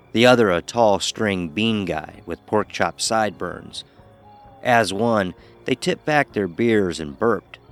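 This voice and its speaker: Young male narrator, college pledge, wry and conversational, lower register, audio horror drama. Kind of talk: first-person horror story narration.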